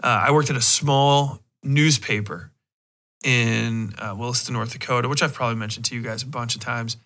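Bright-voiced man chatting casually. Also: medium pitch, steady tone. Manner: halting, conversational. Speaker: podcast host. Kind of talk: casual rambling solo chat.

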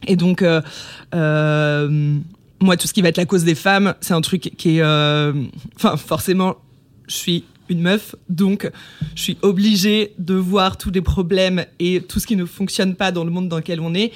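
A woman's voice: fairly low pitch, steady tone, measured pace. A woman around thirty talking casually as a guest in a podcast interview.

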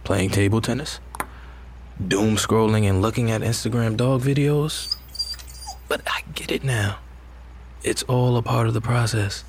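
An actor playing an ordinary, rattled and disbelieving husband in an audio drama, with a hesitant, conversational delivery. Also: male voice, mid-range voice, slight background noise. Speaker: actor in a fiction podcast. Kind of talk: naturalistic audio drama acting.

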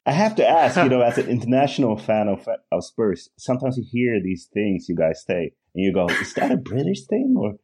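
A man's voice: mid-range voice, big pitch swings, fairly quick, few pauses, a little mellow, husky. A man in his forties from Sweden, a podcast guest who talks candidly and laughs easily. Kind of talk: casual podcast chat.

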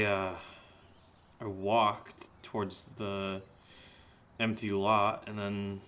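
An actor playing a dazed, sarcastic young man in a naturalistic horror audio drama, speaking haltingly with sighs. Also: fairly low pitch, gravelly, a bit bright, slight background noise, heavily acted. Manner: halting, hesitant, sighing.

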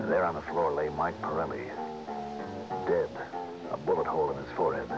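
A man's voice: sits low, highs cut off. A man playing a tough, wry investigator, narrating a 1960s radio detective drama in a terse, hard-boiled way. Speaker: lead actor and narrator. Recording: band-limited old radio recording, music behind.

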